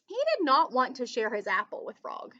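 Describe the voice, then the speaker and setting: A woman's voice: sits high, huge pitch swings, normal speed, sharp tone, highs cut off. A woman reading a children's story aloud in a teacherly way, stopping to put questions to her young listeners. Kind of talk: expressive children's read-aloud with asides and questions.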